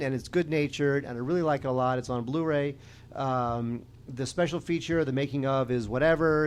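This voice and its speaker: Higher-voiced man chatting about films. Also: smooth. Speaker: podcast co-host reviewing films. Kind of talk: casual film review chat.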